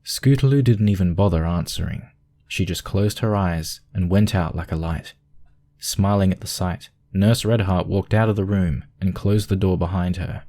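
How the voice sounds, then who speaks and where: A man's voice: sits low, normal speed, warm tone. A man narrating a scripted piece of fiction as a storyteller.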